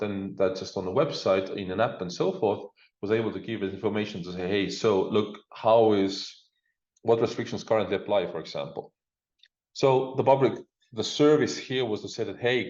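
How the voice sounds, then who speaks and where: Low-voiced Estonian man, muffled roomy sound. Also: darkish tone. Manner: informal, uses frequent discourse markers like "look" and "you know". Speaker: guest speaker, digital government expert. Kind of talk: conference presentation, conversational. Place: speaking remotely over a video link.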